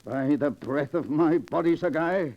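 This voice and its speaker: An actor playing a pompous, greedy and nervous sheriff in a 1940s radio drama, with blustering archaic speech. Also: male voice, on the high side, measured pace. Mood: indignant, plotting. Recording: muffled vintage 1940s radio recording.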